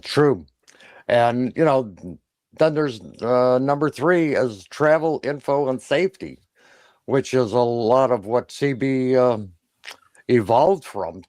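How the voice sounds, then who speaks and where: A man with an average-pitched voice explains prepared points as a co-host. His delivery is hesitant and halting, and the sound is very dry, with no room echo. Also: darkish tone. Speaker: co-host of a ham radio show.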